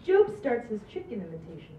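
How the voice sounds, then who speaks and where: A woman's voice: fairly high pitch, very animated, brisk, warm tone. A woman narrating stage directions from a script at an amateur table read. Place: small indoor stage. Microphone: far camera. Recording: distant echoey muffled noisy camera audio.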